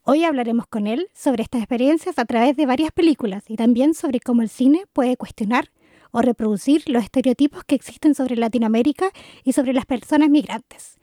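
A woman's voice: high voice, brisk, dark tone, no room sound, studio quality. A woman hosting a radio programme, reading a scripted introduction to an interview. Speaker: radio host.

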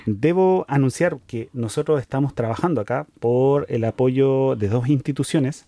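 A man hosting an interview, asking questions in a friendly, encouraging way with quick affirmations. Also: medium pitch, dark tone, no echo. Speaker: interview host. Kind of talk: conversational interview.

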